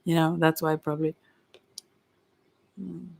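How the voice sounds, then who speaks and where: A tarot reader giving an informal ASMR reading in a hesitant, rambling way with many pauses. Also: medium pitch, level tone, gravelly, darkish tone, slight background noise. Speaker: Ayurveda therapist.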